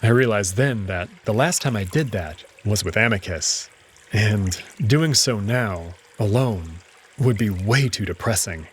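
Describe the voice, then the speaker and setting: A man's voice: lowish voice, expressive, measured pace, husky. A man reading a visual novel aloud as first-person dramatic narration.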